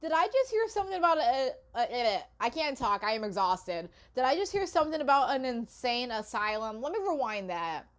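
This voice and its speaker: A woman's voice: on the high side, sing-song, brisk, few pauses. A woman giving casual reaction commentary as a video host, informal and full of exclamations and apologetic asides.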